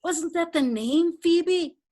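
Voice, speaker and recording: A woman plays a quiet, homely friend who speaks gently. Her voice is high, and she speaks slowly and with care. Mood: gentle. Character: quiet domestic woman, loyal old friend. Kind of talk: readers theatre play reading.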